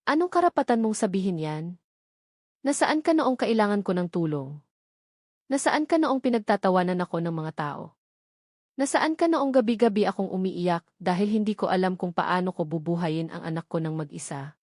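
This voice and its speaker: A woman's voice: lowish voice, animated, normal speed, dry recording, studio quality. A woman narrator playing a wounded, sarcastic young single mother with a Filipino accent in an audio romance drama. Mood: sarcastic, angry. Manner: cold, sharp, accusing.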